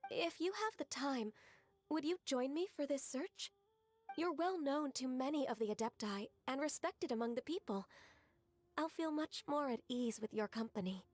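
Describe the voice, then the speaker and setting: A woman voicing a gentle, dutiful, modest half-beast secretary in a video game, speaking politely and earnestly. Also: high-pitched, moderate pace, soft background music, close to an everyday voice. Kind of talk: video game character acting.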